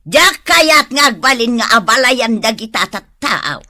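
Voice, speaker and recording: A woman's voice: a little high, expressive, unhurried, bright, forceful tone, full character voice. An actress playing a bossy, nagging mother in an Ilocano radio drama, with an Ilocano accent. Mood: complaining.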